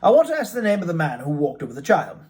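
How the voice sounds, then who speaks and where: A man voicing a reserved lawyer who asks probing questions in a measured, inquiring way, in a storybook reading. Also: fairly high pitch, wildly swooping pitch, a little mellow. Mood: curious, probing.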